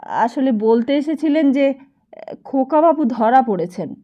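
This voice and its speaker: A woman's voice: high-pitched, animated, a little slow, mellow, lo-fi sound. A woman reading the part of a respectful male estate clerk who reports hesitantly to his master in an audiobook. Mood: explaining.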